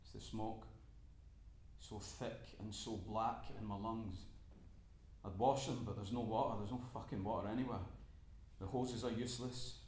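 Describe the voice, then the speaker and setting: An actor playing a frightened oil rig worker in a dramatic monologue, halting and breathless as he repeats himself. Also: lowish voice, fairly even intonation, a little mellow, echoey room, a little hiss or hum, natural voice. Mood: desperate, subdued. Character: frightened oil rig worker trapped in a fire, trusting management. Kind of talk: dramatic first-person stage monologue.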